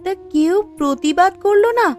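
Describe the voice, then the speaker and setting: Cartoon wife female actor: villager, high-pitched, tender, emotional, music behind. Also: lively intonation, moderate pace. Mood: concerned. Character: anxious, devoted wife of a poor villager. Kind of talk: cartoon dialogue.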